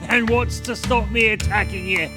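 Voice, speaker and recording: An actor playing a gruff, commanding male pirate captain in an audio drama. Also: high voice, normal speed, close to an everyday voice. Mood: blustering. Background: guitar and fiddle music.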